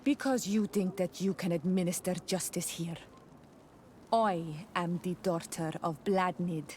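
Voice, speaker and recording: Woman doing a defiant young clan daughter in a video game, kinda low voice, serious, bit of noise behind. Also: unhurried, a little mellow, lightly acted. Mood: defiant, indignant. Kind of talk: video game cutscene acting.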